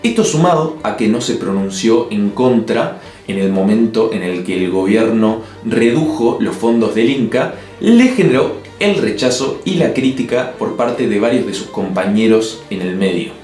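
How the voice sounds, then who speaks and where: Un hombre de Uruguay con acento del Río de la Plata, que narra un ensayo en video con opiniones firmes y toques de humor seco. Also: male voice, fairly low pitch, animated, normal speed. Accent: Río de la Plata Spanish. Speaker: video essay host. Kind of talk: scripted narration. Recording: echoey room with soft music.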